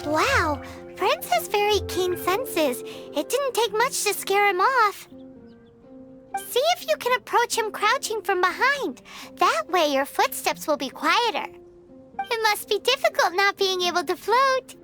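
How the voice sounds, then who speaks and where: A woman voicing a tiny, childlike floating fairy girl companion in an anime fantasy game, squeaky and chirpy, with music behind her. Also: big pitch swings, measured pace, heavily acted. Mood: instructive. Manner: chirpy, refers to self in third person. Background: background music.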